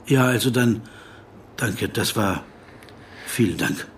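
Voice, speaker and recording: A man's voice: on the low side, takes it slowly, a little hiss or hum. An actor playing a deferential, anxious interviewer in a radio drama, narrating his thoughts hesitantly and politely. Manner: hesitant, polite, drops into hushed asides.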